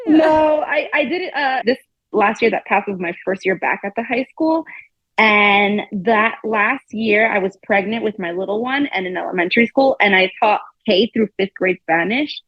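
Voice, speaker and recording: A woman's voice: mid-range voice, normal speed, no echo. A woman in her thirties, a guest teacher, talking casually and laughing often on a podcast. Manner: chatty, laughs often, says "like" a lot. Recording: dull lo-fi sound.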